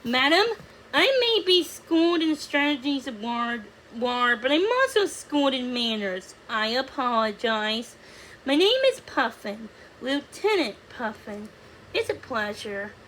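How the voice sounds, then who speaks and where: A woman's voice: a little high, lively intonation, unhurried, a bit bright, slight background noise, rough recording. A woman voicing a pompous, brash male puffin soldier with a formal, boastful, blustering manner for a storybook. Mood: gallant, formal. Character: pompous, brash military puffin, male, hot-headed but gallant.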